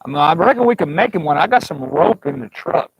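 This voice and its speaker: A man hosting a live stream outdoors at a campsite, talking in a folksy, joking, rambling way. His voice is gravelly and sits a little high. He speaks through a small clip-on mic with a fuzzy windscreen. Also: lively intonation, fast talker, background noise. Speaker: livestream host. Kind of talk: casual live chat.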